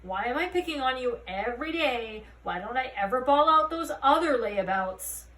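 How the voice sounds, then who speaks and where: A woman voicing a gruff, angry male fairy police commander who scolds in a storybook reading. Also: high-pitched, animated, moderate pace, a bit bright. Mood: stern. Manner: barking, scolding. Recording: roomy, distant mic, faint noise.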